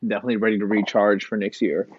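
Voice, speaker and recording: A man from the United States, a podcast guest in relaxed casual chat, saying "you know" often. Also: fairly low pitch, rapid-fire, husky, no room sound, muffled recording.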